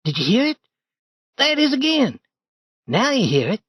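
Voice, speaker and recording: A man putting on a frightened, high young boy. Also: wildly swooping pitch, moderate pace, a bit bright, breathy edge, dry recording, studio quality, heavily acted. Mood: fearful.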